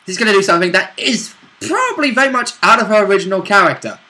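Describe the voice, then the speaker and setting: A man's voice: high voice, expressive, quick pace, sharp tone. A man who plays in a tabletop campaign, talking it over in casual chat. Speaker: player in the roleplay campaign.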